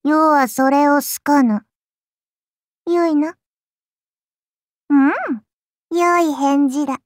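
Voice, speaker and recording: A woman's voice: sits high, steady tone, slow, no room sound, clean studio sound. A woman playing a childlike, playful serpent-god oni in an anime game, speaking in a haughty, archaic lordly manner with indulgent teasing. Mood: imperious, displeased. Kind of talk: anime game character voice lines.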